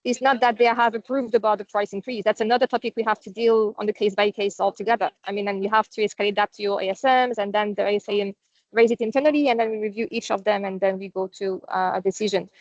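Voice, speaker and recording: A woman's voice: mid-range voice, fairly even intonation, fast talker, no room sound. A non-native English-speaking woman presenting on a company call, explaining things in a conversational, informal business style. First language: not English. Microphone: online conference call. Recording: dull, dry online call audio.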